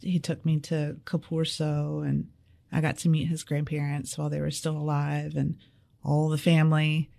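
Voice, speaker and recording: Low gravelly woman, relaxed. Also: normal speed. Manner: relaxed, hesitant with ums. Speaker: restaurant co-owner, guest. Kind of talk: casual interview.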